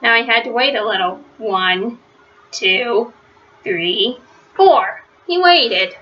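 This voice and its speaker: A woman reading a children's story aloud, phrasing it simply and deliberately and stressing words for young listeners. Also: a little high, animated, lo-fi sound. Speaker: storybook reader. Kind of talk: children's read-aloud narration.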